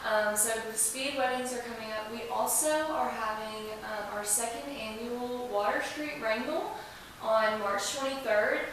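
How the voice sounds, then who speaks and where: Higher-voiced woman guest in echoey room. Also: steady tone, unhurried. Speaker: podcast guest representing a museum. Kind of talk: casual chat. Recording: echoey room, distant mic, hiss.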